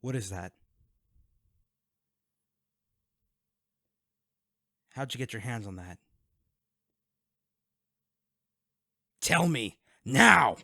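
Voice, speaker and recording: A man's voice: mid-range voice, big pitch swings, moderate pace, creaky, sharp tone, dry recording, studio quality, exaggerated voice. A man playing a guarded, wealthy vigilante in an audio drama, speaking in a curt, sarcastic and threatening way to the listener. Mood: angry.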